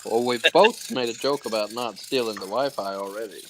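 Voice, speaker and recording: A man's voice: medium pitch, fairly quick, slight background noise, poor audio. A man in his thirties chatting casually on a live stream and reading out viewers' comments. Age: 30s. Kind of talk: casual chat.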